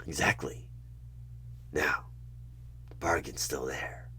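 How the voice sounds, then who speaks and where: A man playing a sinister, coaxing evil recruiter who bargains for souls in a creepy ASMR horror roleplay, husky and half-whispered, with deliberate dramatic pauses. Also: rumbling low, steady tone, sharp tone, full character voice. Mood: persuasive, menacing. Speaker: audio roleplay performer.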